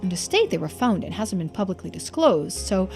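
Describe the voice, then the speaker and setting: A woman voicing a composed, formal investigator in a mystery game, speaking in a measured, precise way. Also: fairly low pitch, very animated, a little mellow, faint music behind, voice pushed down. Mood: serious, measured.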